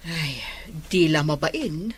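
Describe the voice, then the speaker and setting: A woman's voice: deep voice, lively intonation, slow, slightly rough, exaggerated voice. A woman playing an aggrieved village neighbour complaining about borrowed things in an Ilocano comedy drama. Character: aggrieved village woman wanting her sandals back.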